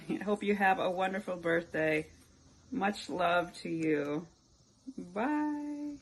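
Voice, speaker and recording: A woman's voice: on the low side, expressive, slow pace, breathy edge, low-quality recording. A woman telling a knock-knock joke for a birthday, giggly and playful. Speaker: well-wisher telling a joke.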